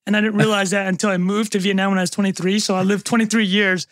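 A man with a Canadian accent, a podcast guest chatting casually, joking and rambling with quick asides. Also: high voice, level tone, fairly bright tone, dry recording, clean studio sound.

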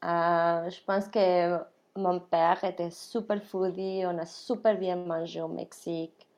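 A woman's voice: lowish voice, level tone, slow pace, darkish tone. A woman from Mexico with a Mexican Spanish accent, telling her story casually in an interview, chatty, laughing often and slipping in English words. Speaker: interview guest, restaurant worker.

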